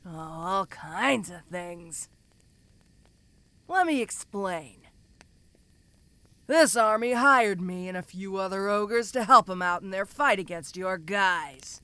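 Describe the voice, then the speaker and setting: A woman's voice: medium pitch, expressive, slow, long pauses, sharp tone, no room sound. A woman voicing a tough, domineering giantess ogre in a fantasy audio roleplay, talking to the listener in a casual, teasing, condescending way. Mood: smug, explaining.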